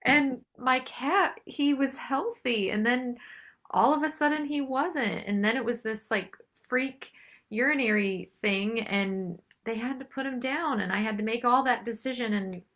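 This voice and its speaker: Bright-voiced woman as interview guest. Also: on the high side, measured pace. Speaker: singer-songwriter interview guest. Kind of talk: casual interview chat.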